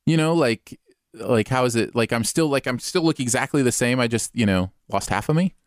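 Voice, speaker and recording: A man's voice: mid-range voice, lively intonation, a little fast, no room sound, studio quality. A man co-hosting a live stream, joking around in casual chat. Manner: playful, jokey.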